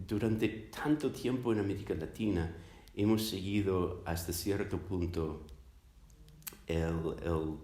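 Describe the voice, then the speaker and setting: A man from the United States, a native English speaker, answering interview questions as a scholar in a halting, thoughtful way, searching for words. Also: low-pitched, a little mellow. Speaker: interviewed academic, literature scholar. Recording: roomy, echoing sound.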